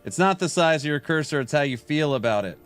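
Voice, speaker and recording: A man's voice: on the high side, lively intonation, fast, clean tone, soft background music. A man in his late thirties from California, streaming a game and joking around as he reads viewer chat aloud. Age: late 30s. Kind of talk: casual livestream chat while gaming.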